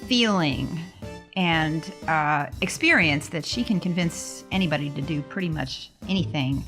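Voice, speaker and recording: A woman describing her character as a player in a casual tabletop game, halting and thoughtful. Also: deep voice, a bit bright, soft background music.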